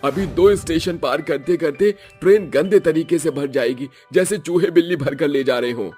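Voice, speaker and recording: A practical working husband from a cartoon, played by a man grumbles in an everyday way. His voice is fairly high, with faint music behind. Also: moderate pace, darkish tone, husky. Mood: grumbling. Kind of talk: animated cartoon story acting.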